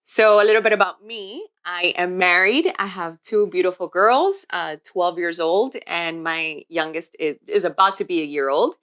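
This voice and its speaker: Bright, clear-voiced woman in her forties. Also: on the low side, normal speed, hardly any pauses. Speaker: podcast co-host, healthcare worker. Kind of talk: casual podcast chat.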